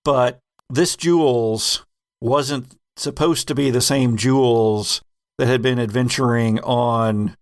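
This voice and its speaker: A man's voice: mid-range voice, fairly even intonation, slow pace, no room sound. Man chatting, dry close sound. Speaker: podcast game master. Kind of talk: casual retrospective chat.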